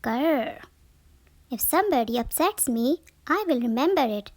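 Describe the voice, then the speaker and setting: A woman voicing a touchy, grudge-holding sour grape in a children's storybook, with expressive, theatrical grumbling. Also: sits high, measured pace, no room sound. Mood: grumpy, indignant. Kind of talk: children's picture book read-aloud.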